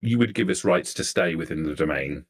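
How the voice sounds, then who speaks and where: A man voicing a poised, coolly menacing female vampire negotiator in a tabletop roleplay, with formal, measured diction. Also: rumbling low, lively intonation. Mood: measured, considering.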